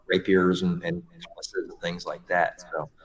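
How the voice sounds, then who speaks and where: A man's voice: sits low, level tone, measured pace, rough recording. A man talking casually as a podcast guest, rambling with frequent restarts and fillers as he explains his work. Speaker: guest, stage combat performer.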